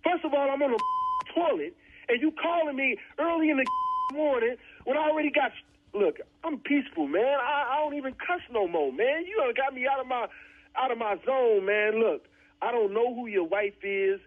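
A man argues informally over the telephone. He sounds exasperated and repeats himself as he protests. His voice runs high and is muffled by the phone-like line. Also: lively intonation, normal speed. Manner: exasperated, repetitive. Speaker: prank call recipient.

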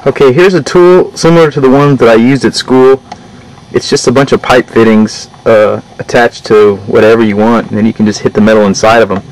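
Young adult man, mid-pitched voice, casual how-to narration, step-by-step, outdoor camcorder recording, slight background noise. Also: a bit bright. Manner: informal, explaining step by step. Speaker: hobby metalworker demonstrating. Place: outdoor work yard. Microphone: camera mic a short distance away. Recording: noisy outdoor camcorder audio.

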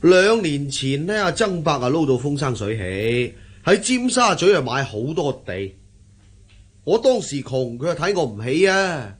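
A cocky young nephew in a Cantonese radio play, quick to flatter. His voice is rough-edged and very lively in pitch, with a Hong Kong accent. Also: full character voice. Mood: scornful. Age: young adult. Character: brash, flattering young nephew seeking a bride. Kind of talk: radio drama acting.

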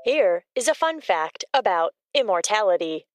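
A woman delivers scripted informational asides as a co-host. She is precise and deadpan, with a clean, crisp mid-pitched voice recorded very dry. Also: normal speed, no room sound. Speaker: fact-giving co-host.

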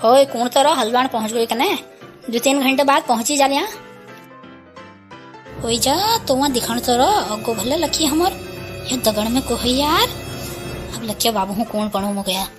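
A woman's voice: fairly high pitch, normal speed, long pauses, music in the background, close to an everyday voice. A woman voicing an exasperated, scolding village mother with a Kumaoni accent in an amateur cartoon comedy. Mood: curious.

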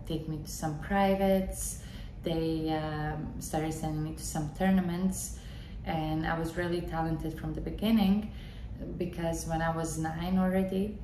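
A woman in her thirties from Bosnia and Herzegovina, a podcast host and tennis coach, telling her own story in an earnest, filler-dotted monologue. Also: deep voice. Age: 30s. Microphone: large desk condenser mic in front. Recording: echoey room with steady background noise.

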